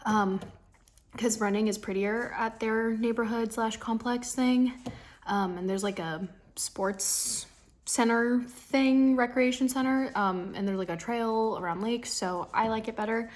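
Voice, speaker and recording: A woman's voice: on the high side, a little slow. A young adult woman talking casually to camera in a personal vlog, rambling and confiding, with thoughts that trail off. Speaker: vlogger.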